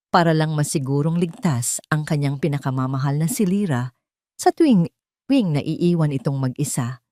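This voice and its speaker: A woman's voice: deep voice, mellow, no echo, clean studio sound. A woman reading a scripted dramatic story as its narrator.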